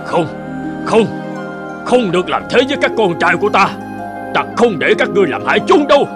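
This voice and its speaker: A frail old father in a cartoon fairy tale. He speaks with gentle, loving sorrow and constant worry, over clear background music. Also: high voice, sing-song, brisk. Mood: pleading. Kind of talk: animated fairy-tale acting.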